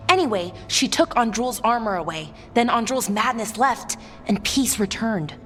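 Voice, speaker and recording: Earnest elven scholar. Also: female voice, a little high, lively intonation, normal speed, fairly bright tone, in a large hall, a little hiss or hum. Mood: earnest, explaining. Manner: earnest, explanatory. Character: earnest, thoughtful elven woman scholar of old legends. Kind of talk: naturalistic game dialogue.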